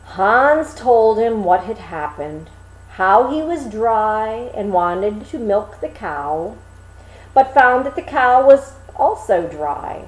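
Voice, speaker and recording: Woman, fairy tale narration, highish pitch, very animated, expressive, echoey room. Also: takes it slowly. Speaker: storyteller, reader.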